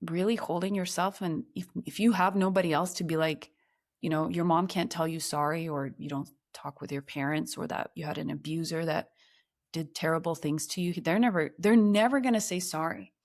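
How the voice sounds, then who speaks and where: Podcast guest: woman from Ukraine, thirties, slightly low voice, earnest, reflective storytelling. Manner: reflective, earnest, slows down on emotional points. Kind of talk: personal storytelling in conversation.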